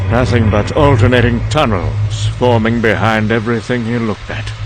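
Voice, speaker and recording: A man's voice: medium pitch, lightly acted. A man acting as a wry, cynical, conversational narrator in an apocalyptic comic-book drama. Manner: sardonic, conversational. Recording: background music under the voice.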